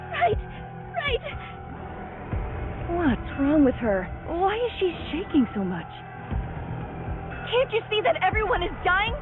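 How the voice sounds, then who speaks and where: A woman's voice: extremely high pitch, heavily acted. An actress playing an anxious but determined young female hunter in a video game, with an earnest, urgent delivery. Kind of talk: dramatic video game voice acting. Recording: tinny narrow-band sound, music behind.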